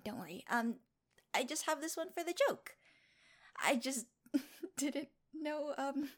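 A woman acting in a solo audio roleplay as a teasing, apologetic woman, halting, giggly and coaxing in manner. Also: sits high. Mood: sheepish.

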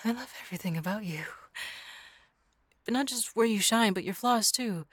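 A woman's voice: medium pitch, normal speed, no echo, studio quality, natural voice. A woman voicing a clingy, obsessive young woman in love with her coworker, stammering and often dropping to a whisper. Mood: adoring. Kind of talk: audio roleplay acting.

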